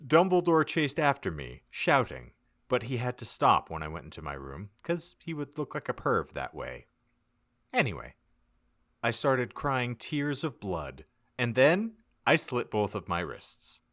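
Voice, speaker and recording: A man giving a mock-dramatic, theatrical narration of a comedic audiobook, with deliberate emphasis. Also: mid-range voice, wildly swooping pitch, a bit bright. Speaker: podcast narrator.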